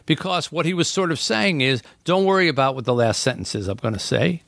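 A man's voice: on the high side, lively intonation, fairly quick, creaky, a bit bright, no echo. A middle-aged man, a podcast guest, talking in an earnest, thoughtful way with frequent "you know" fillers. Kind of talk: reflective casual conversation.

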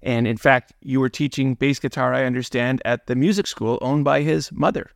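A man's voice: mid-range voice, moderate pace, gravelly, dry recording, studio quality. A man hosting an interview, asking direct, prepared questions. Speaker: interviewer, host.

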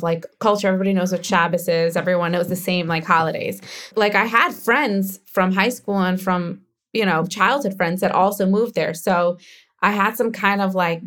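American woman, show creator, candid and chatty, loose conversational delivery. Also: fairly low pitch. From United States. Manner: candid, chatty, many "like" fillers. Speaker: show creator and lead actor. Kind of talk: casual interview answers.

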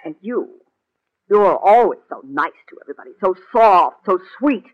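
A woman's voice: mid-range voice, lively intonation, normal speed, natural voice. A woman playing a bitter, scheming, jealous sister in a theatrical, cutting old-time radio drama style. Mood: sharp, resentful. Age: adult. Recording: dull lo-fi old radio recording.

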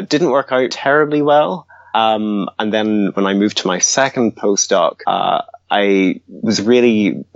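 A man's voice: lowish voice, dry recording, dull audio. A man from Northern Ireland, a scientist, answering interview questions with frequent ums and restarts. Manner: hesitant, many ums and restarts. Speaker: guest scientist.

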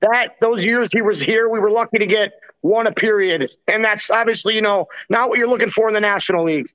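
A man's voice: high-pitched, brisk, fairly bright tone, lo-fi sound. A man hosting a hockey podcast, talking casually and in slang like a chummy former player. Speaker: podcast host. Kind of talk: casual sports podcast talk.

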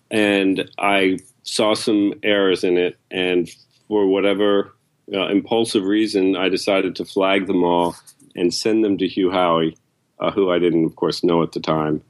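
A man's voice: sits low, flat delivery, measured pace. A man, an editor, answering questions casually as a guest in an interview.

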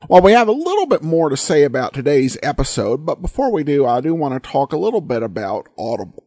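A man's voice: on the high side, huge pitch swings, fast talker, dark tone, husky, no room sound. A man hosting a podcast, giving commentary and sponsor reads into a close mic. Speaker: podcast host.

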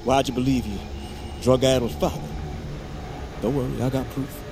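An actor playing a menacing, bitter middle-aged father and military lieutenant in an audio drama, taunting and deliberate. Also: medium pitch, steady tone, background noise, natural voice. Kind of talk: audio fiction drama acting.

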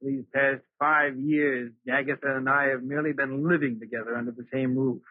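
An actor playing a regretful, lovesick husband in an old radio drama, earnest and pleading. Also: male voice, mid-range voice, little pitch movement, fairly quick, breathy edge, dry recording, close to an everyday voice. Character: lovesick, regretful, unhappily married man recounting his past. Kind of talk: 1940s radio drama acting. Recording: muffled, remastered old radio recording.

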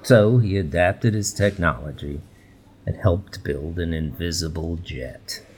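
A man playing a weary research manager in a radio documentary interview, deadpan and dry. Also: sits low, unhurried, noisy background. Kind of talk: documentary interview in audio fiction.

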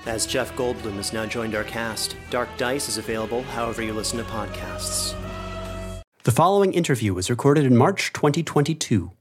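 Guy hosting and narrating, mid-pitched voice, loud music under him. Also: animated, normal speed. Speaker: show director, producer and interviewer. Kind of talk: scripted trailer narration and hosting an interview.

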